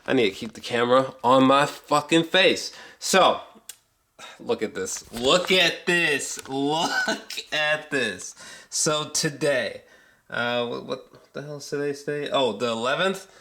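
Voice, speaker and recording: A man with a mid-pitched voice talks through an off-the-cuff movie review for a vlog. He takes his time, rambling and restarting his thoughts, often repeating himself. His delivery is that of an excitable fan who swears freely. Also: slow. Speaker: amateur movie reviewer vlogger. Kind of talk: casual unscripted vlog review.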